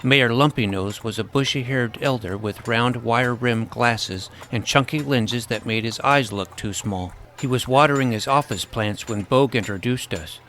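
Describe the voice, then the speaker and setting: A plucky young hero from another world played by a man tells his own story in a fantasy audio drama. The voice is medium-pitched for a man, with scripted storytelling over faint ambient noise. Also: moderate pace, few pauses, fairly bright tone, natural voice. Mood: reflective storytelling. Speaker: narrator and lead character. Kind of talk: first-person scripted fantasy narration with dialogue.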